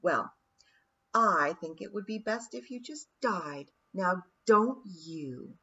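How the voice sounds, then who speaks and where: A woman's voice: medium pitch, lively intonation, takes it slowly, pushed and bright, lo-fi sound, slight background noise. A woman voicing a cold, cruel fairy queen who speaks in a silky, condescending, taunting way in an audiobook. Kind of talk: audiobook character voice.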